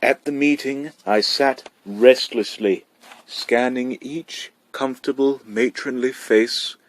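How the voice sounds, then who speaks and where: A man's voice: mid-range voice, steady tone, slow, low-quality recording. A man reading a short story aloud as scripted narration. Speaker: amateur story reader.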